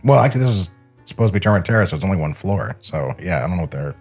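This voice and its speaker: A man's voice: deep voice, lively intonation, very fast, a little mellow, faint music behind. A man co-hosting a podcast in a casual, bantering chat. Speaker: podcast co-host. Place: podcast studio.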